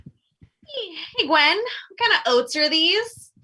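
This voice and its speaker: A woman's voice: extremely high pitch, big pitch swings, slow, pushed and bright, exaggerated voice. An actress playing a chatty, scheming female co-op worker in a sitcom table read. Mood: sly, coaxing.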